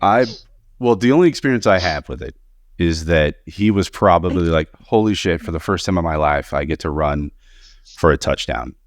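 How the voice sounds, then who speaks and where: A man in his early forties with a low voice chats in slangy, teasing banter. He curses freely as he riffs. The recording is dry and close. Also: moderate pace, dry recording. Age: early 40s. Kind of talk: casual banter.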